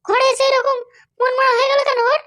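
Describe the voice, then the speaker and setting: A woman's voice: extremely high pitch, flat delivery, brisk, bright, forceful tone. A woman voicing a doting but bossy mother in a cartoon, fretful, insistent and nagging. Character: doting, anxious, bossy mother of a grown son. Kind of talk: cartoon dialogue.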